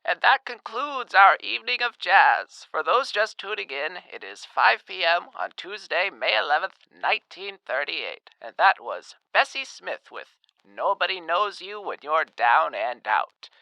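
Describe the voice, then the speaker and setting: A man acting as a 1938 radio announcer, very bright and forceful, with crisp diction. His narration is formal, theatrical and grave, recorded close and dry. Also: high voice, very animated, unhurried, few pauses, no room sound, exaggerated voice, low-quality recording. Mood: showmanlike. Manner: crisp, formal, dramatic delivery.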